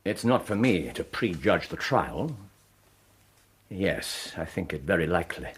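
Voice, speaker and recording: An actor in a British radio drama playing a stern gentleman detective, precise, formal and probing, with a British accent and husky voice. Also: low voice, sing-song, unhurried, full character voice. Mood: grave, measured. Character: stern, incisive gentleman detective, coolly deductive.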